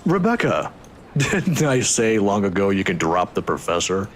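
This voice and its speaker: A woman voicing a kindly old male professor, courteous and gently teasing, for a visual novel. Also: extremely low pitch, sing-song, unhurried, a little hiss or hum, pitched below the performer's own voice, full character voice. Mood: teasing, friendly.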